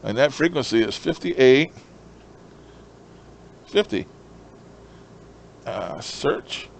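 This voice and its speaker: A man's voice: mid-range voice, expressive, drawn-out words, vocal fry, slight background noise. A man chatting casually in a folksy, rambling way as he explains his hobby. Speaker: shortwave radio hobbyist presenter.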